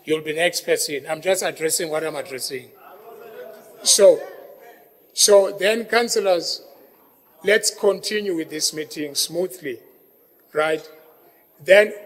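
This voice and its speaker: A man's voice: a little high, a little slow, long pauses, in a large hall. A South African man chairing a council meeting, repeating himself as he calls councillors to order. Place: council chamber.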